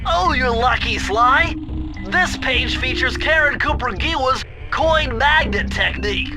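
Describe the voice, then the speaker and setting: Very high-pitched nasal nerdy sidekick. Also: male voice, expressive, a little slow, full character voice. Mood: excited. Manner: pedantic, fussy, precise. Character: nerdy, anxious, bookish young male turtle brainiac sidekick. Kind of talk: cartoon video game acting. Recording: music behind the voice.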